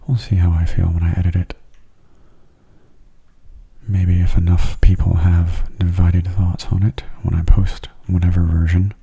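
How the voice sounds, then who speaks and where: Very soft, breathy low-voiced ASMR man. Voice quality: soft, breathy, often near a whisper. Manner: calm, unhurried, musing aloud. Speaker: gaming video host. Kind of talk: soft-spoken ASMR gameplay commentary.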